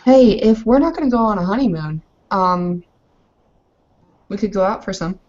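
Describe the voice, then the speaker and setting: A woman's voice: mid-range voice, animated, moderate pace, a little mellow, muffled recording. A woman casually roleplaying as a player in a tabletop game session.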